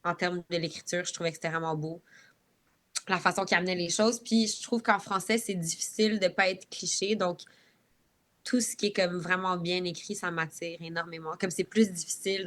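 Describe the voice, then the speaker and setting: Bright-voiced woman with Quebec French accent. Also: on the low side, steady tone, brisk. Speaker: guest singer being interviewed. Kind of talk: casual interview chat.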